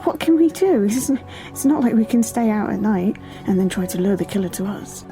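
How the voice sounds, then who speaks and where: A woman acts a sensible young student with a teasing streak in a fan audio drama. Her voice is soft-edged and mellow, at an average pitch. Faint music sits behind it. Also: animated, a little fast, natural voice. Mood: cautious. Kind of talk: amateur audio drama acting.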